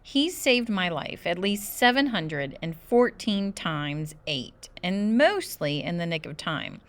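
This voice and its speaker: A woman reading a children's book aloud as an expressive narrator. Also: lowish voice, slow pace. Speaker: storybook reader.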